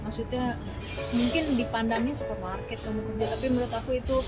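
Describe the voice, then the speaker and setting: A woman from Bogor talks as a guest in a relaxed vlog interview over a meal. Her voice sits a little high, and she hesitates with fillers and laughs lightly. Loud music plays throughout the restaurant. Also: quick pace. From Bogor, Indonesia. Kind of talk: casual interview chat.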